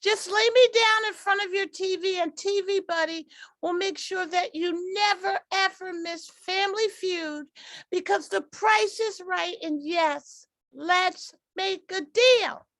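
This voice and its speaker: A woman's voice: very high voice, unhurried, a bit bright, no echo, full character voice. A woman voicing a chirpy, eager talking TV remote in an old-time radio commercial parody. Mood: chirpy selling.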